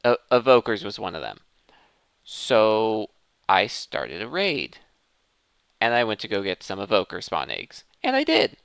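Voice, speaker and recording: Bright-voiced man doing lively game commentary. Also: on the low side, unhurried, gravelly, clear, resonant tone, no room sound. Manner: self-interrupting, thinking aloud. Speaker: gaming video host. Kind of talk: casual gameplay commentary.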